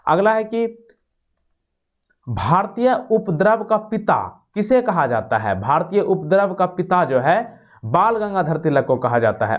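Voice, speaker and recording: Higher-pitched man teaching, dull recording. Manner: explanatory, repeats questions and answers. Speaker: exam-prep quiz teacher. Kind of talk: quiz lesson, reading questions then giving answers.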